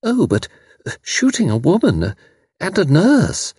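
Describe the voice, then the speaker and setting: Man putting on a flustered, high-pitched protester. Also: wildly swooping pitch, unhurried, a little mellow, slightly rough, no room sound, studio quality, exaggerated voice. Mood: shocked, protesting. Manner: hesitant, protesting. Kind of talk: audiobook character dialogue.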